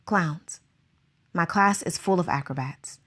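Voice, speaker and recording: A woman's voice: fairly low pitch, slow pace, fairly bright tone, husky, exaggerated voice. A woman playing an anxious, earnest circus teacher in a radio drama, asking question after question.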